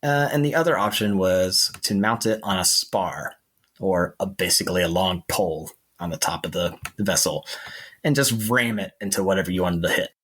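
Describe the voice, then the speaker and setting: A man with a husky voice telling history in a conversational podcast style. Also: low-pitched, normal speed, fairly bright tone. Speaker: podcast co-host.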